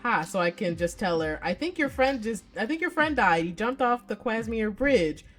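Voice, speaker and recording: A woman's voice: fairly high pitch, animated, normal speed, fairly bright tone, faint music behind. A woman voicing a blunt, exasperated and defensive ex-boyfriend from a visual novel, in a scolding manner. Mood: sarcastic, defensive. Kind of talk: visual novel read aloud.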